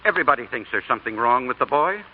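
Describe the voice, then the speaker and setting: An actor plays a calm small-town father in a vintage radio drama. His medium-pitched voice is mild, dry and plain-spoken. The recording is thin, with faint noise. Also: rapid-fire, phone-quality sound, natural voice. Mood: dry, placating. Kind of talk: old radio drama acting.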